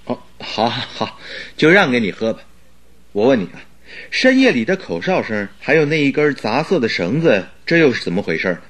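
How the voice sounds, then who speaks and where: A man acting a detective's eager, curious companion in a radio drama, inquisitive and quick to react. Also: medium pitch, big pitch swings, fairly bright tone. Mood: curious, agreeable.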